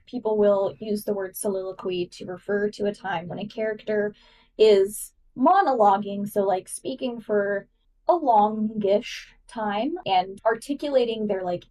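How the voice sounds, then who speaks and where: A woman's voice: medium pitch, a little mellow, recorded from a distance, poor audio. A woman guest co-host chatting enthusiastically on a podcast and explaining things with lots of "like" fillers. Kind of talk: casual podcast chat, explaining.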